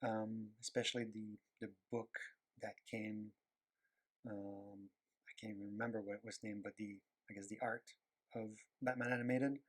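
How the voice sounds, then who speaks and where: Low-voiced Québécois man rambling while drawing. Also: gravelly, fairly bright tone, breathy edge, poor audio. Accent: Quebec French. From Quebec, Canada. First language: French. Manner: rambling, searching for words, many fillers. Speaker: animator and illustrator. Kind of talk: casual talk while drawing.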